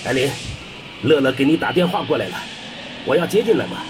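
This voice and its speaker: Man acting urgent commanding mission leader, animated. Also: medium pitch, a little fast, noisy background, natural voice. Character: commanding, caring male leader of a space mission. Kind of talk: audio drama acting.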